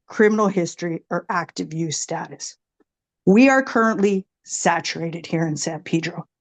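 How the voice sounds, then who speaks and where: A woman making a prepared public comment at a meeting, with an emphatic, deliberate way of talking. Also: on the low side.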